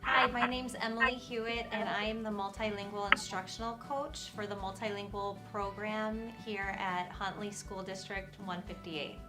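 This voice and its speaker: A woman working as a multilingual instructional coach, narrating a school video in an upbeat, clearly paced way. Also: medium pitch, fairly even intonation, moderate pace, hardly any pauses, over loud music.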